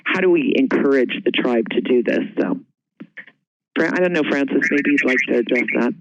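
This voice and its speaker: Guy hosting a webinar q&a, stop and start delivery, medium pitch, bit lo-fi. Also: normal speed, background noise. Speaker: panel moderator taking audience questions. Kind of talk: informal webinar presentation. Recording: dull, band-limited webinar call audio.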